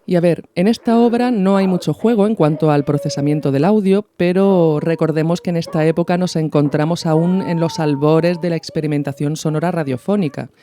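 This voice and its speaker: A woman hosting a podcast with scripted, didactic narration in measured phrases. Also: low voice, warm tone. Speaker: podcast host.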